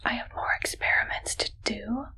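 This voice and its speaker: A woman voicing a friendly but evil scientist in a whispered ASMR medical roleplay, breathy, coaxing and playful. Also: close to an everyday voice, rough recording. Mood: sweetly sinister. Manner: slow, soft, playful, coaxing.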